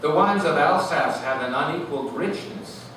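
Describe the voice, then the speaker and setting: Echoey, distant man reading literary prose. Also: fairly high pitch. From Canada. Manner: animated, lyrical, deliberate phrasing. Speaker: author reading own work. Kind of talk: expressive literary reading to an audience. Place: live reading event in a room. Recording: echoey noisy room, distant mic.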